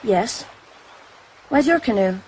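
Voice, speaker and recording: A woman's voice: on the high side, animated, slow, darkish tone, husky, full character voice, low-quality recording. An actress playing a wary, defiant young island woman who collects shells, speaking directly and challengingly in a radio drama. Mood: wary, suspicious.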